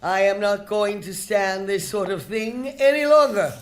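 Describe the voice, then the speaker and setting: A woman's voice: medium pitch, measured pace, a little hiss or hum, natural voice. A woman playing a joyful, generous reformed old male miser, theatrically and exuberantly, in a live radio drama. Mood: mock stern. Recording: live stage, music and audience behind.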